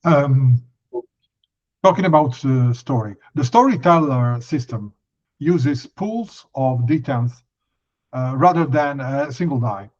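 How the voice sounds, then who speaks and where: A man asking measured interview questions, with hesitant, pausing phrasing. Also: medium pitch, a little mellow. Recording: slightly dull, lo-fi audio.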